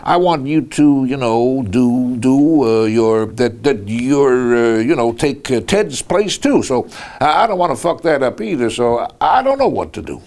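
A man's voice: mid-range voice, very animated, darkish tone. An older man playing a folksy, profane oil executive in a dramatized phone call, stammering and rambling as he goes. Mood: halting, earnest. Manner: halting, rambling, stammering delivery.

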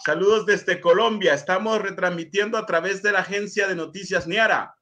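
A man's voice: high-pitched, a little fast, pushed and bright. A middle-aged man from Honduras hosting an interview on a video call, courteously reading viewer messages aloud. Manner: courteous, reads comments aloud. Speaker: host.

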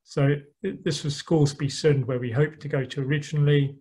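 Man, middle-aged, slightly high voice, relaxed slide talk, many ums, dry asides, online call mic. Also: brisk. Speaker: yacht club speaker. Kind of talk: slide talk about a sailing voyage. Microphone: online call microphone.

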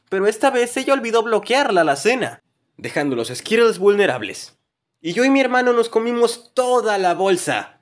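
Male narrator for a cartoon story dub: high voice, very lively intonation, comic exaggeration. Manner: expressive, exaggerated comic delivery. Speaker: narrator of a fan-dubbed animated story video. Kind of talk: animated comedic storytelling narration.

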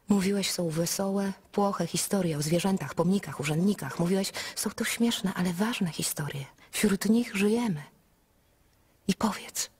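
An actress playing Jacqueline, a sharp, probing woman, in a radio drama, speaking in a reproachful half-whisper. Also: on the low side, breathy edge. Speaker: actor in a radio play.

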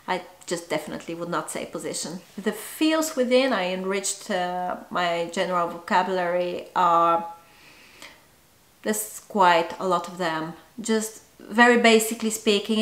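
Young woman, unhurried reflective vlog delivery, echoey home room. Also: mid-range voice. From Bulgaria. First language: Bulgarian. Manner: measured, thoughtful, with frequent ums. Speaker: translator vlogger. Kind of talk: talking to camera about her work. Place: small indoor room at home. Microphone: camera in front, upper-body framing. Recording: roomy echo.

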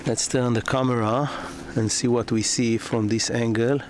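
A man giving a slow, hesitant walkthrough of a sailboat, pausing often and restarting his sentences as he films. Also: mid-range voice, steady tone. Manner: slow, halting, with many fillers and restarts. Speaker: yacht broker. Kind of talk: boat walkthrough narration. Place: on a moored sailboat in a marina, outdoors and below deck. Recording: noisy outdoor camera audio.

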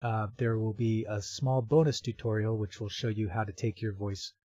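A man walks through software setup step by step in an informal, explanatory manner. His voice is mellow and sits at a middling pitch. The recording is dry and somewhat dull, as from a close voice-over microphone. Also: fairly even intonation, moderate pace, dry recording. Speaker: software tutorial presenter. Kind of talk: step-by-step screen tutorial narration.

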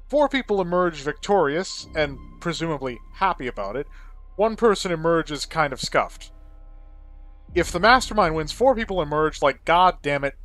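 A man's voice: on the high side, fairly bright tone. A man giving casual, opinionated gameplay commentary in a rambling way, with some swearing. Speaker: let's play video creator. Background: game soundtrack music behind the voice.

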